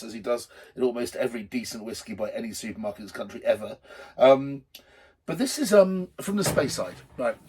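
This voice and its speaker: A middle-aged man with a slightly husky voice hosting a drinks show in a rambling, jokey, blunt and sweary unscripted chat to camera. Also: mid-range voice, a little mellow. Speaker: host of a drinks video show.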